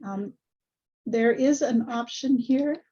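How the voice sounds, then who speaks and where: A woman hosting an online webinar over a video call, a little hoarse, friendly and full of ums. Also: a little high, takes it slowly, dark tone. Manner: friendly, many ums and uhs. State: slightly hoarse. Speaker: webinar host. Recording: muffled video call audio.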